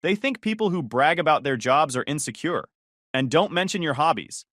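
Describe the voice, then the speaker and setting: A man's voice: a little high, animated, normal speed, a bit bright, dry recording, studio quality. A man voicing a smug, manipulative young woman. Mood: coaxing. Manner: coaxing, condescending.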